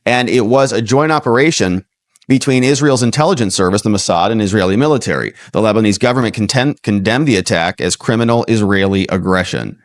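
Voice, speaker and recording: A man's voice: lowish voice, fairly quick, no room sound, clean studio sound. An American man hosting a news commentary show, reading reports and breaking off into casual, sarcastic, incredulous asides. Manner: acts out imagined dialogue, mocking and incredulous.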